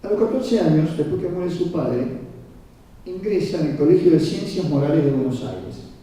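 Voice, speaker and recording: A man's voice: fairly high pitch, lively intonation, normal speed, mellow. A man giving a formal history lecture, didactic, reading from notes with asides and checking in with his listeners. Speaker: lecturer at a conference. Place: large reverberant conference room. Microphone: distant microphone. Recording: very echoey hall, muffled, far from mic.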